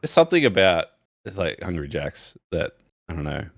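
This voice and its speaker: Low-voiced man, rambling podcast chat. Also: moderate pace, gravelly, no echo, studio quality. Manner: rambling, animated storyteller, frequent laughs. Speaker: podcast co-host. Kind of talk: casual chat.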